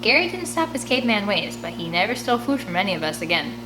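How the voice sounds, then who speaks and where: A woman's voice: mid-range voice, quick pace, music in the background, rough recording. A woman reading a children's novel aloud in the first person, expressively, acting out the dialogue. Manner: animated, acts out dialogue. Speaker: audiobook reader.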